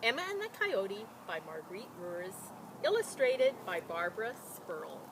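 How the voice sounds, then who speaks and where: Animated woman storyteller, noisy outdoor recording. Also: mid-range voice, moderate pace. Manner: animated, explains things simply for children. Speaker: school storyteller reading a picture book. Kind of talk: casual introduction and expressive children's read-aloud. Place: outdoors beside a chicken coop.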